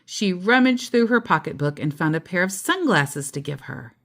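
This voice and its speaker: A woman's voice: lowish voice, sing-song, normal speed, fairly bright tone. A woman reading a children's story aloud expressively, with casual asides along the way.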